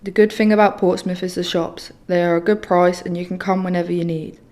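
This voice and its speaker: Brief: 16-year-old girl, lowish flat voice, earnest. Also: fairly quick. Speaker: young film participant. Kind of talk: personal testimony for a short film.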